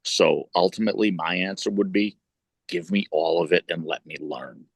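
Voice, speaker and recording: A man's voice: sits low, moderate pace, gravelly, slightly rough, no echo. A middle-aged man, a wrestler and podcast co-host, talking at length in casual chat, swearing and building long points.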